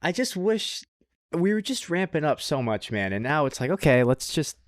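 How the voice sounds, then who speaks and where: A man's voice: fairly high pitch, sing-song, normal speed, no room sound. Man co-hosting casually, dry close sound. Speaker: podcast co-host. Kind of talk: casual anime review chat.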